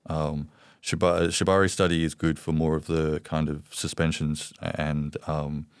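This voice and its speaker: A man with a very deep voice and an Australian accent, a podcast guest talking candidly and hesitantly, with many ums and repeated words. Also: level tone, unhurried, smooth, dry recording, clean studio sound. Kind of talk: candid interview conversation.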